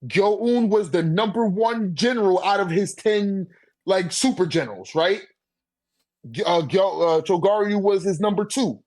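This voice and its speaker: A man with a high-pitched voice debates in a loose, conversational way. His talk is thick with slang and swearing, and he keeps circling back to check that he is understood. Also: measured pace, a little mellow. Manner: slangy, profane, repeats "you know what I'm saying". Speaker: debater on a manga ranking podcast. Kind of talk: casual debate chat.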